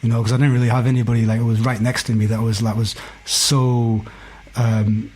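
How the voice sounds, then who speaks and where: A man's voice: mid-range voice, little pitch movement, brisk, darkish tone, a little hiss or hum. A man in his forties with a Belfast accent talks casually and candidly, telling stories and often tagging phrases with "you know". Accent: Belfast Northern Irish. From West Belfast, Northern Ireland. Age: 40s. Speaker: podcast guest, hip-hop DJ. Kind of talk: casual conversational storytelling.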